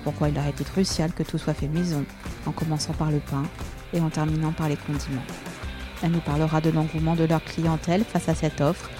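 A woman hosting a food podcast, reading an introduction and then asking questions in a curious, casual way. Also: low voice. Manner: warm, curious questioning with fillers. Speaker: podcast host.

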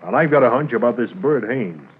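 A man's voice: medium pitch, animated, brisk, natural voice. A man playing a determined, authoritative Mountie sergeant in a 1950s radio drama on an old radio recording. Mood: firm, determined. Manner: authoritative, clipped. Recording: tinny, hissy 1950s radio transcription.

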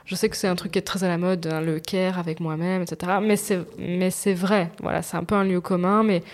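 A woman's voice: lowish voice, little pitch movement, brisk. A woman speaking informally and candidly as a podcast co-host, in slangy, confessional conversation. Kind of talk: casual, confessional podcast chat.

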